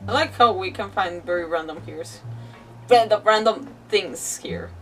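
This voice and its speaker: A woman's voice: mid-range voice, a little slow, a bit bright. Woman game streamer, slightly distant mic. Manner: muttering to herself, reacting to the game. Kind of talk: casual live gameplay commentary. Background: game music.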